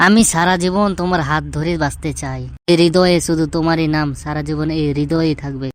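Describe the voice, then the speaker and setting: A woman's voice: sits low, fairly even intonation, fast talker, natural voice. A woman voicing a cheeky, scheming young man in a comedy cartoon, wheedling in slang and given to sentiment. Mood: lovestruck. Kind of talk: Bengali comedy cartoon dub. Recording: music behind.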